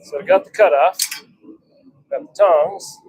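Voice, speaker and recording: A man's voice: high-pitched, a little slow, a little mellow, slightly rough, rough recording. A man giving casual livestream commentary as he works, muttering short, wry remarks to himself and his viewers. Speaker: livestream host forging knives. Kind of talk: casual livestream chat and running commentary while working. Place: blacksmith workshop with forge.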